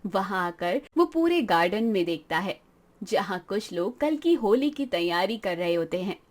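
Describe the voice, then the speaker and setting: A woman's voice: medium pitch, sing-song, measured pace. A woman reading scripted narration for a moral story as its narrator.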